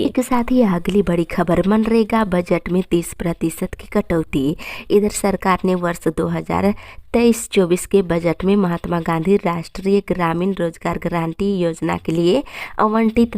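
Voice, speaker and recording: A woman presents scripted news as a narrator. Her voice sits a little lower than most women's. The sound is very dry, with no room echo around the words. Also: darkish tone.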